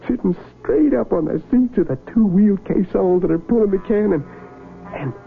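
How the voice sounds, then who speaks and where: An actor playing a nervous, polite young Civil War soldier in a radio drama, with a creaky American voice and folksy, halting, stammering speech. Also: male voice, high-pitched, heavily acted. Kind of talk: 1970s radio drama acting. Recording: narrow, tinny vintage radio broadcast, music behind.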